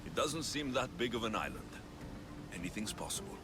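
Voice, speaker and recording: An actor playing a determined, grieving Greek mercenary in a video game, speaking earnestly and tersely. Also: male voice, medium pitch, sing-song, brisk, natural voice. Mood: easy, wry. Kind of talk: video game cutscene acting.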